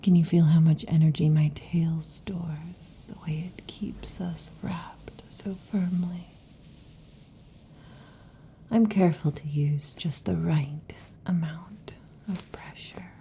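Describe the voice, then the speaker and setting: A possessive snake-woman lamia, played by a woman whispers intimately into a close mic with a gravelly, low, mellow tone. She teases slowly, coiling around the listener in an ASMR roleplay. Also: steady tone, a little hiss or hum. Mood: teasing whisper. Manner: soft intimate whispering, teasing. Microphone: close sensitive mic.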